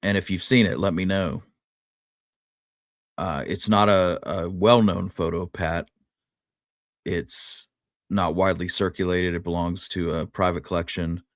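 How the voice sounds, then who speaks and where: Male co-host, deep voice, conversational history talk aimed at viewers, dry close sound. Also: steady tone, unhurried, pauses often, dry recording. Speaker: podcast co-host. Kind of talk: casual history discussion with live viewers.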